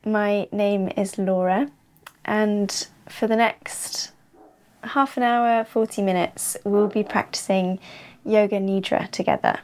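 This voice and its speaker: A woman leading a guided yoga nidra relaxation as the teacher, in an unhurried, soothing way with long pauses. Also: mid-range voice, darkish tone. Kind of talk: slow guided relaxation narration.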